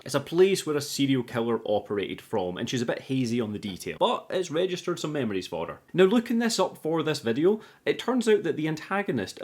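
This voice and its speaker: Irreverent, sweary male reviewer, medium pitch. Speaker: video host reviewing a film. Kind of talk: scripted casual review narration.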